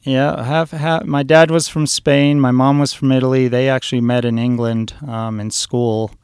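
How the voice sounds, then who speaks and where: A man in his forties talks casually as a guest, his voice smooth and resonant. He rambles through stories in a relaxed way, full of ums and uhs. The sound is dry and close. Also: medium pitch, moderate pace, dry recording. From born in Switzerland, raised in New York City. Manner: relaxed, rambling storyteller with frequent fillers. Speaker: chef guest. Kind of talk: casual interview talk.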